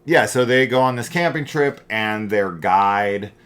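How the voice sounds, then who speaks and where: A man's voice: fairly low pitch, unhurried, fairly bright tone, clean tone. A middle-aged man hosting a casual film review, rambling and opinionated, with emphatic points.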